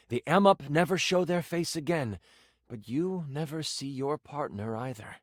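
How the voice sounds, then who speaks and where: A man narrating a tabletop fantasy game as its game master, with expressive, theatrical storytelling. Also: on the high side, dry recording, studio quality. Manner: expressive, theatrical delivery with playful asides.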